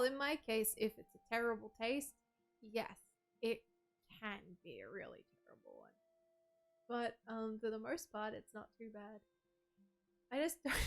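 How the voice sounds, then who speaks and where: A slightly high-voiced woman talking to her stream chat in a loose, rambling way, full of "like" and "um" and little laughs. Soft music plays faintly underneath. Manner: chatty, rambling, frequent "like" and "um", laughs often. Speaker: livestreamer. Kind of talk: casual chat, reading out viewer messages.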